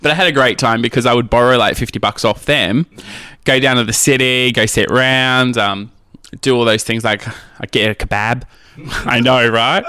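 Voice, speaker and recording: An Australian man chatting casually and candidly as a podcast guest, telling stories in a cheeky, animated way and acting out bits of dialogue. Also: medium pitch, normal speed, clear, resonant tone, dry recording, clean studio sound. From Australia, rural Queensland. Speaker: podcast guest, drag queen. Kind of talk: casual candid anecdotal chat.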